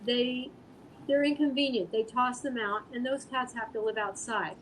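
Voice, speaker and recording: A woman speaking as an interview guest, answering casually in an earnest, halting, thoughtful way. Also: a little high, fairly even intonation, a bit bright, slight background noise, rough recording. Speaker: guest artist and animal rescue volunteer.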